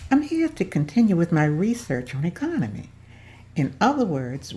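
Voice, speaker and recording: Woman reading earnest community leader, gravelly, mellow dark tone, low voice. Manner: earnest, steady, speechmaking. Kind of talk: amateur table read.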